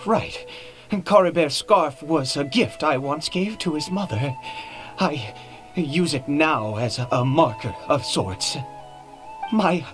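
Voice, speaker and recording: A man voicing an anxious, weary father in a video game, speaking in a hesitant, halting way with stammered repeats. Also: on the high side. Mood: troubled, self-doubting. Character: anxious, weary father searching for his son. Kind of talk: dramatic video game voice acting.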